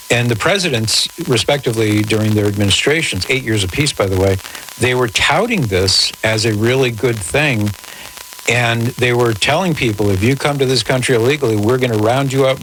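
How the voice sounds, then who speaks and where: A man hosting a radio call-in show, answering in a measured, articulate, conversational way with formal wording. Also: lowish voice, a bit bright. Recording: noisy radio broadcast with static.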